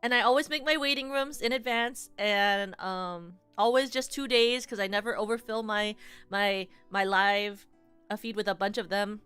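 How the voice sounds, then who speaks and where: A woman's voice: mid-range voice, steady tone, moderate pace, a bit bright, smooth, no echo, soft background music. A young adult woman streamer in casual, rambling livestream chat, playfully teasing her viewers.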